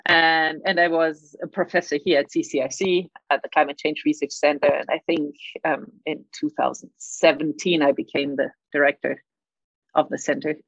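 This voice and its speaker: Woman, German accent, middle-aged, lowish voice, stammering repeats, dry muffled sound. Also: steady tone, normal speed, dry recording. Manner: frequent stammered repeats, light laughs. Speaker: guest, climate scientist. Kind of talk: conversational interview answers.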